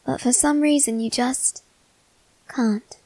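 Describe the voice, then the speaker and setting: A woman voicing a polite, coaxing young male demon in an ASMR roleplay aimed at the listener, speaking softly and courteously. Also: high voice, fairly even intonation, dry recording, exaggerated voice, low-quality recording. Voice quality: soft, measured and courteous.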